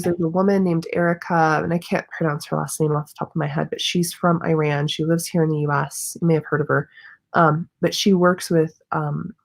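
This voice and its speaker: A woman's voice: fairly low pitch, creaky, darkish tone. A woman chatting as a guest, speaking earnestly and sharing firm opinions. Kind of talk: casual video chat.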